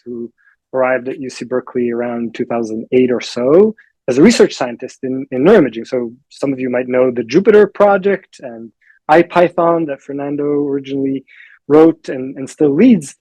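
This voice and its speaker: A man's voice: medium pitch, warm tone, no echo, poor audio. Thoughtful man from Israel. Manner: thoughtful, repeats words as he starts. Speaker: panelist, research professor. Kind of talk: casual spoken account.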